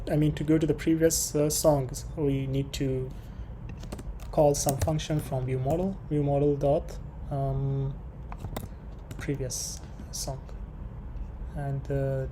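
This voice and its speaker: A programming tutorial presenter talking through code unscripted, haltingly thinking aloud while working. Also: mid-range voice, mellow. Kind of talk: unscripted screen-share coding tutorial. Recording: clear background noise with keyboard typing.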